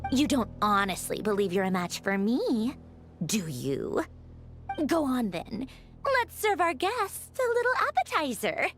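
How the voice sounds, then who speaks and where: A woman's voice: on the high side, big pitch swings, measured pace, soft background music. An actress playing a haughty, sadistically amused female robot villain in a video game, theatrical, bored and playfully taunting. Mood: mocking.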